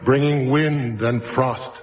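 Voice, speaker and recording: A man narrating a radio drama in a slow, measured, atmospheric reading. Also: mid-range voice, fairly even intonation, natural voice. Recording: tinny old radio with loud music underneath.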